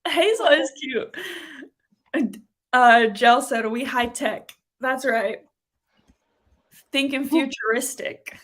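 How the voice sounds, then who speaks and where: A woman's voice: sits high, slow pace, pauses often, a bit bright. A woman in her twenties hosting a casual live chat and reading out comments in a chatty, giggly way. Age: 20s.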